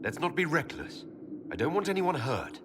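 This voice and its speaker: Expressive, resolute elven leader. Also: male voice, fairly high pitch, moderate pace. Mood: cautious. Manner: earnest, questioning, firm. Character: resolute male elven leader, cautious and protective. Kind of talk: dramatic fantasy game dialogue. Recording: clear ambient noise behind voice.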